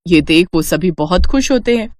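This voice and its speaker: A woman tells a scripted moral story. Her delivery is expressive, moving up and down in pitch, and there is faint noise behind her voice. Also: normal speed.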